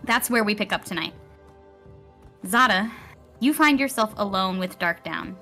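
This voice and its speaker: Bright-voiced woman running a fantasy game. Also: medium pitch, expressive, normal speed. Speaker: game master. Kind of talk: narrating a live tabletop roleplaying game. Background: music.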